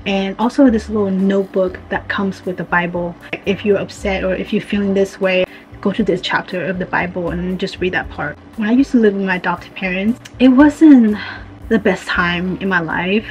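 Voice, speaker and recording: A woman's voice: mid-range voice, normal speed, a little mellow, poor audio. Young Chinese-born woman chatting over music. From China. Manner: informal, frequent "like" and "you know". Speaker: vlogger. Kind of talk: casual chatty video diary, confiding.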